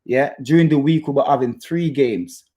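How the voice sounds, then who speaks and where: A man with a Jamaican accent hosts an informal football fan chat. His voice sits at a medium pitch. He talks in a halting, stop-start way, often repeating a phrase before moving on, as he addresses his viewers directly. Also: dark tone. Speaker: football fan channel host. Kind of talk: casual chat to live viewers about football.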